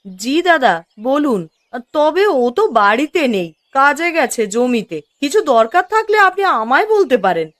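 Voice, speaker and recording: A woman playing a polite, deferential married village neighbour in a moral-story cartoon, with an expressive delivery. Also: high voice, measured pace, dry recording, clean studio sound, full character voice.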